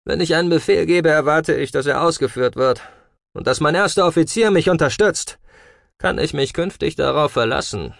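A man's voice: mid-range voice, moderate pace, gravelly, few pauses, fairly bright tone, dry recording, clean studio sound. A man voicing a stern, cruel starship commander in a science fiction audiobook, commanding and menacing. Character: stern male starship commander, cruel, possessed by an alien force.

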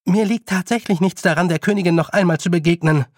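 A man voicing a determined young girl in a storybook, brisk and stubborn. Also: sits high, no room sound, studio quality, pitched above the performer's own voice. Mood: defiant, hurried.

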